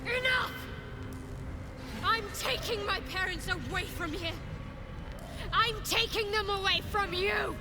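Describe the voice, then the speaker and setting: Young game heroine, really high voice, anguished n defiant, pleading, loud music behind. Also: female voice, slow, long pauses. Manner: emotional, pleading. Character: anguished, defiant young woman adventurer, fiercely protective daughter. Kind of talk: video game dramatic acting. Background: loud dramatic game music.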